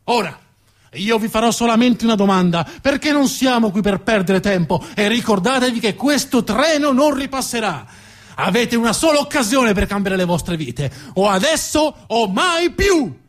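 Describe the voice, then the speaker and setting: Man playing a pompous, high-pitched orating factory boss. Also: expressive. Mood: bombastic, rousing. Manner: declamatory, drawn-out emphatic phrases, shouting. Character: pompous male factory director whipping up a crowd of workers. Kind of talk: theatrical political rally speech.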